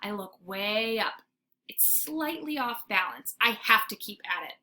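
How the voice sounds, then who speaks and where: A woman reading a children's book aloud like a teacher, with dramatic, expressive storytelling. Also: mid-range voice, measured pace. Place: informal homemade recording. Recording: faint background noise, homemade recording.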